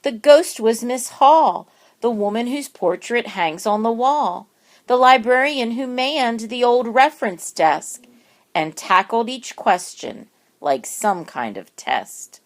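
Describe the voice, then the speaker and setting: A woman's voice: fairly high pitch, lively intonation. A woman reading a rhyming children's picture book aloud, expressively and with dramatic emphasis on words. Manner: deliberate, dramatic word emphasis.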